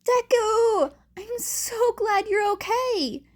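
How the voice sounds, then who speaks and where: A woman's voice: extremely high pitch, takes it slowly, voice pushed up. A woman voicing a stern, insistent teenage girl who probes her friend, in a fan fiction reading. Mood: relieved. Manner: pointed, insistent.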